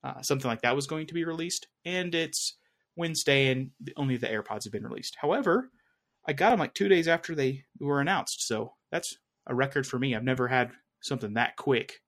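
A man's voice: medium pitch, brisk, fairly bright tone. A man chatting casually as a tech podcast co-host, rambling a little and often correcting himself as he searches for words. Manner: rambling, hesitant, self-correcting. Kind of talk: casual chat.

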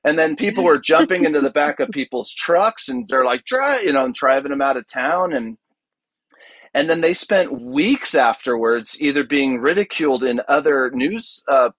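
A man's voice: fairly high pitch, lively intonation, moderate pace, fairly bright tone, dry recording. A man talking casually as a podcast guest, telling stories about his audio drama work. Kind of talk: casual interview, animated storytelling.

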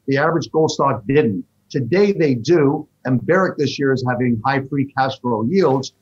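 A man being interviewed, explaining investments in quick, animated bursts with frequent fillers. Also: mid-range voice, husky, dull audio. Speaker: fund manager guest. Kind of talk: interview answers.

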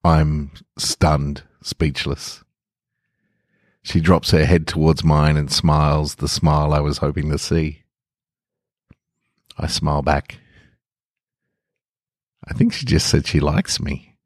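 Man, very low voice, dry close sound, calm quiet story reading. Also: dry recording. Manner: slow, quiet, reflective reading. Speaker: podcast host and story reader. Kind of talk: reading a short story aloud, then casual sign-off.

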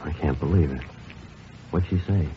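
An actor playing a stoic, grieving police sergeant in an old radio drama, speaking in a terse, understated, deadpan way. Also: male voice, very deep voice, normal speed, noisy background. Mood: stunned, grieving. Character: stoic male police sergeant, grieving his longtime partner. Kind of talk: 1950s radio acting, clipped scripted narration. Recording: muffled old radio broadcast, music behind.

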